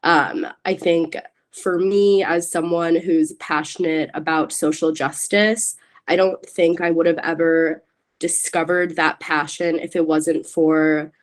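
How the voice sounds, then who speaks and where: Low-voiced young woman, earnest panelist. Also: fairly even intonation, slow pace. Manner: earnest, with frequent "um"s. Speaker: panelist, graduate student. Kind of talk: panel discussion.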